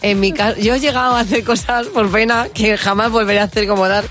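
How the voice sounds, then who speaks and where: A female radio co-host with a Castilian Spanish accent and a medium-pitched voice. She tells anecdotes in a casual, chatty way over faint music. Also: steady tone, quick pace. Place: radio studio. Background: music under the talk.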